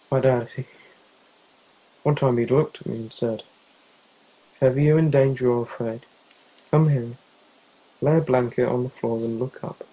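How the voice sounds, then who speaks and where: A man's voice: medium pitch, fairly even intonation, unhurried, pauses often, highs cut off, close to an everyday voice. A young man in a youth theatre play acting a worried, caring teenage friend, earnest and pleading. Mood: gentle, reflective. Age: young adult.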